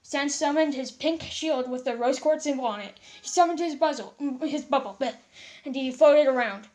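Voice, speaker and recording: High-pitched woman reading fan fiction aloud. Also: normal speed, a little mellow. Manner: stumbling, repeating words as she reads. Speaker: narrator.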